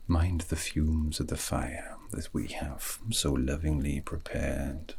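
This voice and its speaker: A man playing a calm, wise elven mage in an ASMR roleplay, speaking in a breathy, gravelly half-whisper with a slow, poetic, soothing manner. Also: rumbling low, a little hiss or hum, exaggerated voice. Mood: soothing, musing. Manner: slow, measured, poetic, tender.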